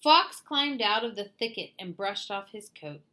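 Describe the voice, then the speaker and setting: A woman reading a children's picture book aloud as storyteller, theatrical and deliberate in her delivery. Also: mid-range voice, animated, pushed and bright. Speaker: storyteller, read-aloud host.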